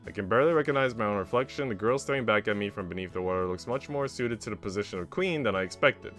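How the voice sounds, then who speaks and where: A man's voice: fairly low pitch, fast, fairly bright tone, soft background music, lightly acted. A man reading the first-person narration of an earnest young heroine in a visual novel. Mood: reflective.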